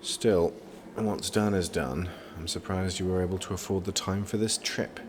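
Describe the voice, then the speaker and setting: Man acting a very deep-voiced, formal prince. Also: steady tone, normal speed, noisy background, lightly acted. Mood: concerned, brisk. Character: busy, dutiful young prince, formal and protective son. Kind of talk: audio drama acting.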